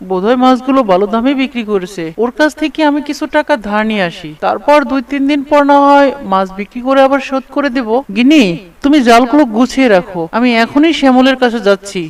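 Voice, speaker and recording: A smooth, very high male voice of a man acting a poor fisherman in a cartoon. He sounds pious and patient, slightly away from the microphone. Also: far from the mic.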